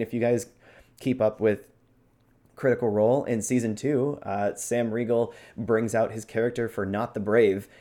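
A man with a fairly low voice co-hosts a podcast. He talks casually and instructively, like someone coaching amateur performers. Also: darkish tone. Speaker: podcast co-host.

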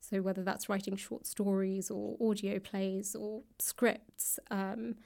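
A woman talking casually as an author guest, tentative and modest, quick to laugh. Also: mid-range voice, level tone, creaky, darkish tone. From England. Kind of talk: casual interview conversation. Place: library room.

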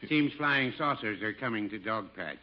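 A man's voice: lowish voice, moderate pace, natural voice. A man as mild druggist, heavy background noise. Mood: mild, helpful. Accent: American. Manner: deadpan, hesitant, chuckling. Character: mild, folksy older druggist, dryly literal and henpecked. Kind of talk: 1950s radio comedy acting. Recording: muffled, clicky, very noisy radio recording.

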